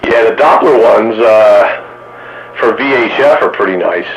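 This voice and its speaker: A man's voice: on the low side, a little slow, low-quality recording. A man hosting a radio hobbyist net, talking casually. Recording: static noise over radio.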